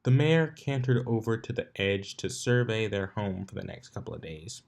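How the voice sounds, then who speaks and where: Man, low-pitched voice, scripted story narration, occasional stumbles and rereads. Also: moderate pace, gravelly, darkish tone. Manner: stumbles over words and rereads phrases. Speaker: narrator reading fan fiction aloud.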